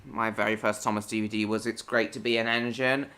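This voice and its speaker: A man from Hong Kong hosts a casual gaming live stream, talking to viewers by name in a bright, edgy tone. He rambles and repeats himself as he talks. When the game goes wrong he breaks into swearing. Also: on the low side, level tone, brisk. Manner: bursts into swearing at game mishaps.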